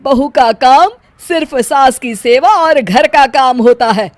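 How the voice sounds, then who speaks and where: A woman's voice: sits high, slow pace, no echo. A woman voicing an older, bossy mother-in-law in a moral-story cartoon, with an emphatic manner. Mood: scolding, haughty. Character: bossy, scolding older mother-in-law, sometimes sweetly doting.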